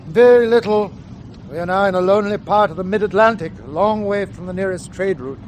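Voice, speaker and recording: A man acts a stern submarine captain in a wartime radio drama. His fairly high male voice is clipped, commanding and drily sarcastic, over faint background noise. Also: brisk, darkish tone, natural voice. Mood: calm, courteous. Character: stern, sardonic German U-boat captain.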